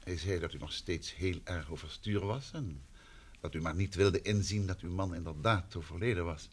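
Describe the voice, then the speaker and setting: An actor playing a polite, probing gentleman investigator in a vintage radio play, patient and attentive. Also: sits low, slightly rough. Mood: courteous, probing. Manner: polite, questioning, measured.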